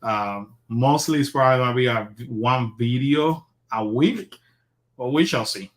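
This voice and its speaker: A man from Puerto Rico hosts a casual livestream. He talks in a relaxed, chatty way with many fillers, at an ordinary middle pitch. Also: moderate pace. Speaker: host.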